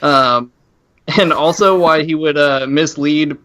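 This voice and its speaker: A man's voice: a little high, slow pace, pushed and bright. A man chatting casually and comedically as a podcast host, joking and drawing things out in a teasing way.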